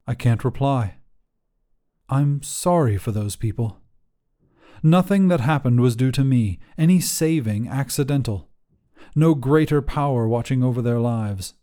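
A man narrating a literary story as a weary, desolate immortal guardian, in a solemn, measured, brooding manner. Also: mid-range voice, lively intonation, mellow, lightly acted. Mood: somber. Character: weary, desolate immortal guardian, forsaken by gods, telling their tale.

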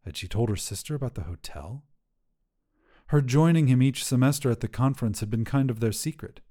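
Mellow male story narrator. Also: mid-range voice, expressive, brisk. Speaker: podcast narrator and producer.

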